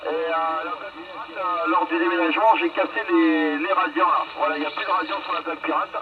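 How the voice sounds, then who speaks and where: A man's voice: fairly high pitch, steady tone, quick pace. A man from France chatting casually over a radio from a moving car, repeating phrases as he reports. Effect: radio transmission. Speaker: mobile amateur radio operator. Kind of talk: casual CB radio contact chat. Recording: dull, very noisy CB radio reception. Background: loud radio and vehicle noise.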